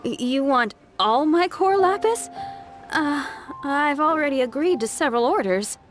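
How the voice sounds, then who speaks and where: A woman's voice: sits high, lively intonation, a little slow, music in the background. A woman voicing a courteous, shrewd ore merchant in a video game, a little flustered. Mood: polite. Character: polite female ore merchant, shrewd and businesslike.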